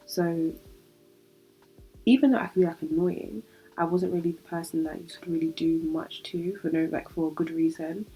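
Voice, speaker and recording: Young British woman, solo podcast host. Low, warm voice, a bit nasal from a cold, chatty, reflective. Faint music underneath. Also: fairly even intonation, moderate pace, slightly rough, rough recording. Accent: British English. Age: young adult. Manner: chatty, reflective, laughs easily.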